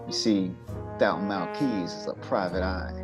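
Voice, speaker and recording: A man playing a deadpan, wry, lecherous hardboiled narrator in a humorous noir radio serial. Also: low voice, over loud music, lightly acted. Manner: deliberate, deadpan.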